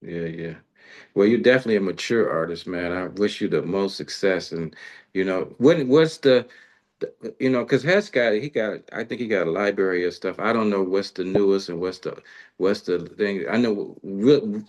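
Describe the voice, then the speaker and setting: A man's voice: sits low, normal speed, a little mellow. A man hosting an interview in an informal, slangy, conversational way. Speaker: radio interview host. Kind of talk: casual interview chat.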